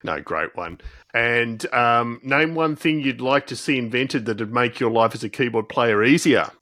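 A man's voice: mid-range voice, expressive, measured pace, sharp tone, no echo, clean studio sound. A man hosting a casual interview podcast, effusive and affirming as he talks. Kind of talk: casual interview and show sign-off.

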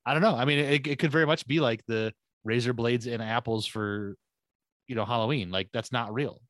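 A man's voice: medium pitch, fairly quick, fairly bright tone, no echo. A man co-hosting a podcast who rambles and thinks aloud with many restarts. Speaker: podcast co-host. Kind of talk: casual conversational chat.

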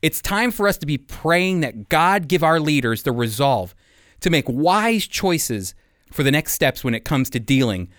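An American man hosting a radio Bible program, giving scripted teaching and commentary in an earnest, deliberate way with emphasis on key phrases. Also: fairly high pitch, very animated, a bit bright, no room sound, clean studio sound.

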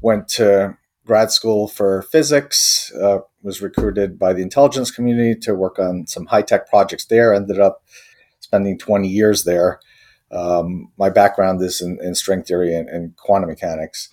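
A man from Croatia, a tech company founder, answering interview questions thoughtfully with many pauses and restarts. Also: low voice, steady tone, dark tone. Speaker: company co-founder and technology chief.

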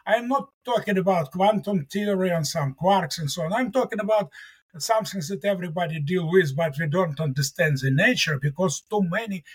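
Husky, high-voiced man, native Russian speaker. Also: fairly quick. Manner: earnest, emphatic, repeats key words. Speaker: mathematics professor. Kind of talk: interview guest.

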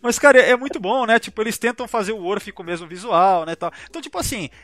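A man's voice: high voice, fast talker, a crowd in the background. A Brazilian man on a podcast panel in casual geek chat, enthusiastic and slangy, with frequent swearing.

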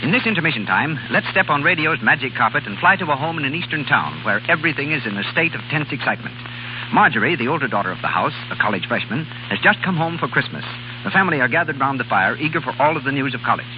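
A man's voice: medium pitch, animated, quick pace, over music. A man working as a radio announcer, reading scripted copy in 1930s style. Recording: noisy, dull 1930s radio recording.

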